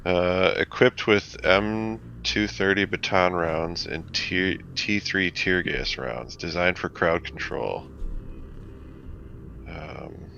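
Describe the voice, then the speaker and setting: A man's voice: deep voice, slow, vocal fry, sharp tone. A man playing a tabletop roleplaying game, talking casually and thinking aloud in a hesitant way. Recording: dull, lo-fi mic with faint noise.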